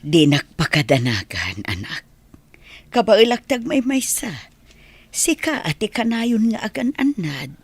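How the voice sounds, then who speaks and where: A woman playing a caring, protective village mother in a radio drama, with a husky Ilocano-accented voice. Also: mid-range voice, very animated, measured pace, no room sound, full character voice. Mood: tender, accepting.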